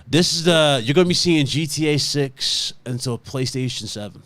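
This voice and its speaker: A man's voice: medium pitch, normal speed, a bit bright, no echo. A man around thirty co-hosting a casual gaming chat, laid-back and agreeable, with loose, rambling phrasing. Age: 30s.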